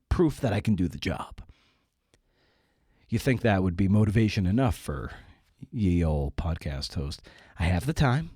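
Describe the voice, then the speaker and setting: Low-voiced male podcast host, dry close sound. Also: mellow, no echo. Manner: wry, self-deprecating, rambling asides. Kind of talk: casual podcast interview and wry monologue.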